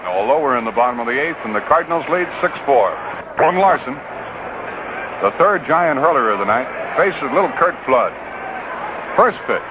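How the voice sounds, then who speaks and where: A man's voice: mid-range voice, very animated, normal speed, dull audio. An American man calling live baseball play-by-play on the radio in a folksy, conversational way, with short clipped phrases. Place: outdoor ballpark broadcast booth. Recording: noisy vintage 1960s radio broadcast.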